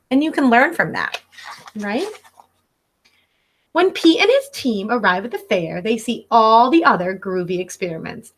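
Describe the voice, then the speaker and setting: A woman in her thirties reading a picture book aloud as a teacher, expressively and instructively, speaking directly to young listeners. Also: a little high. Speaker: elementary school teacher. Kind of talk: read-aloud storytelling for children. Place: living room at home.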